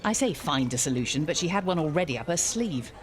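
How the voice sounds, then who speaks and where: A woman's voice: low-pitched, lively intonation, fast, background noise. A woman acting an exasperated, wry village vicar in a radio soap, with a dramatic, sardonic delivery. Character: exasperated, wry female village vicar venting about a rival.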